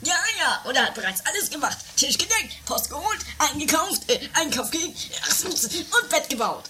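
A woman's voice: high voice, very animated, normal speed, noisy background. A woman playing a chatty, scheming member of an anarchic castle household in a comedy radio play, theatrical in delivery. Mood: chirpy, scheming.

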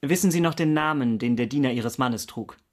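Man acting formal, probing period investigator, medium-pitched. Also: fairly quick, lightly acted. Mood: courteous, insistent. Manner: clipped, courteous, probing questions. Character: formal, persistent male investigator in 17th-century Paris. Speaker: actor in a crime radio drama. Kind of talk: German radio drama acting.

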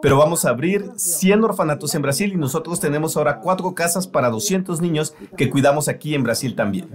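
Earnest higher-voiced male preacher. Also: a little fast. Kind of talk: sermon voice-over translation.

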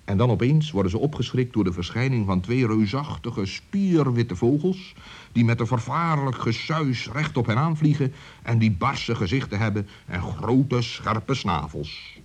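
A man with a somewhat husky, mid-pitched voice narrates a children's story. He reads from a script expressively, stretching key words for drama. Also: expressive, measured pace.

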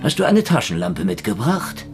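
An actor playing a tough, middle-aged detective in a noir radio drama, sardonic, taunting and brusque. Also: male voice, fairly low pitch, huge pitch swings, moderate pace. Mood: taunting, threatening. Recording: music under the voice.

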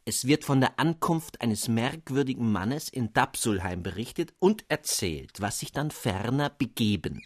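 A man giving an expressive, scripted reading of a literary tale as narrator, with precise storytelling phrasing. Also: mid-range voice, unhurried, hardly any pauses. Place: dry studio.

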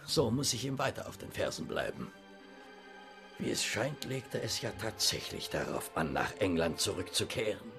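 A gravelly, frantic detective. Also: male voice, lowish voice, very animated, moderate pace, music in the background. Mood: agitated. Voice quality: agitated, insistent. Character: obsessive dogged male detective. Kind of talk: radio play acting.

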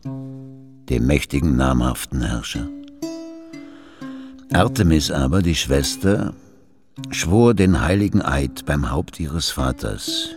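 An actor in a radio drama playing a solemn bard, reciting ancient verse in a measured, reverent, declamatory way. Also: male voice, rumbling low, heavily acted. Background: plucked guitar-like music.

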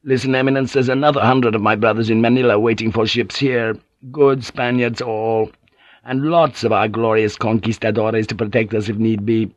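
A man reading an audiobook and voicing a hostile Spanish friar with a rasping, zealous, declamatory manner. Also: lowish voice, level tone, normal speed, no echo, close to an everyday voice, low-quality recording.

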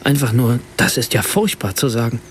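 A man's voice: medium pitch, animated, fairly quick, slight background noise, lightly acted. An actor playing a troubled, hesitant writer who narrates his encounter in a reflective, self-questioning way in a radio play. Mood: puzzled, concerned. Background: music.

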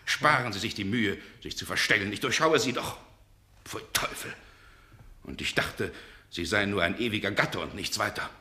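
A domineering, short-tempered gentleman from a radio play. His voice is tense and bright, swinging widely in pitch as he scolds and commands with open contempt. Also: expressive, normal speed. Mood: probing, contemptuous. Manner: forceful, impatient, contemptuous, commanding. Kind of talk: German radio play acting.

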